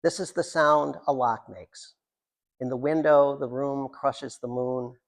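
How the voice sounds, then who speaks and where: A man's voice: medium pitch, steady tone, measured pace, creaky. A man, a writer, reading his own work aloud at a live literary reading, with rambling, self-deprecating, jokey asides.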